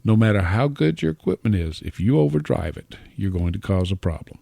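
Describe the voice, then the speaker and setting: Mature man, lowish voice, deliberate phrasing, informal hobbyist demo, close dynamic mic, no room sound. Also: big pitch swings, creaky, mellow, dry recording. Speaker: amateur radio hobbyist reviewing microphones. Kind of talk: informal explanatory product demo narration. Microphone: close dynamic podcast or studio microphone.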